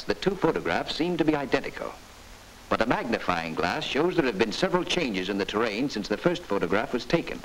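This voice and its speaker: Guy doing a stern 1940s army film narrator, lively pitch, hissy old soundtrack. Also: close to an everyday voice, poor audio. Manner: stern, emphatic, instructive.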